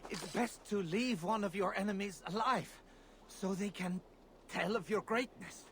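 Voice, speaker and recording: High, gravelly sly villain. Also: male voice, unhurried, slight background noise. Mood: sly, wheedling. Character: sly, bargaining male enemy pleading for survival. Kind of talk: dramatic video game cutscene acting.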